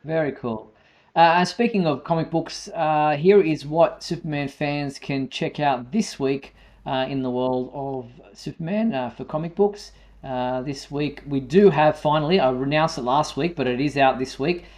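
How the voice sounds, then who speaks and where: A man hosting a live fan news show, announcing items in a halting way with frequent fillers. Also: fairly high pitch, a little mellow. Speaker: show host.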